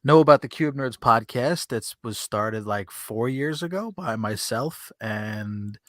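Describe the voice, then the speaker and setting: Male podcast host, mid-pitched, informal and talkative, playful debater, close dry mic. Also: animated, unhurried, no room sound. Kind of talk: casual chat, bantering. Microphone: close USB desk microphone on an arm with pop filter.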